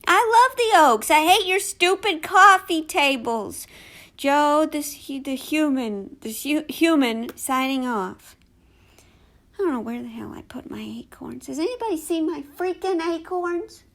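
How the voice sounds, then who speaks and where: Woman doing a squirrel pretending to be human, high slow voice, halting, awkward, keeps insisting, comedy bit. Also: animated, fairly bright tone, heavily acted. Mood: indignant, then flustered, muttering. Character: squirrel unconvincingly posing as a human, defensive, food-obsessed. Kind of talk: comic monologue.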